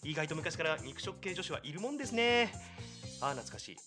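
A man's voice: big pitch swings, brisk. A young man hosting a casual comedic radio chat and reading listener mail, with a jokey, chatty manner. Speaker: radio show host. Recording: low-quality webcast with loud background music. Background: music.

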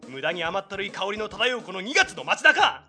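A man's voice: high-pitched, sing-song, normal speed, soft background music, heavily acted. Hot-blooded anime-style young man, piercing tone, wildly swinging melodramatic delivery, comic bitterness. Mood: theatrical brooding. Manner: melodramatic, shouting rants with mock-grand speeches. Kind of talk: comedic anime-style voice drama.

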